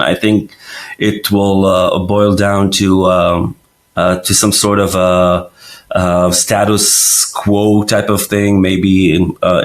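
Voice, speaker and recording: Hesitant man from Israel, low voice. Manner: hesitant, many fillers and restarts. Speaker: company founder, guest. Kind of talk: casual interview answers.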